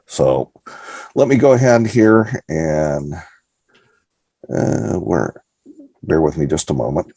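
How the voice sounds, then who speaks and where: An older man giving a lodge presentation over a video call, pausing now and then to sort out his screen. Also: rumbling low, lively intonation, warm tone, slightly rough, no room sound. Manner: halting, pauses while sorting out his screen. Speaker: guest speaker. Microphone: computer mic on a video call.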